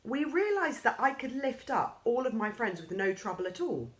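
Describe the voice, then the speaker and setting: Lively woman reading a children's story. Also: normal speed. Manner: lively, acts out characters' lines with raised pitch.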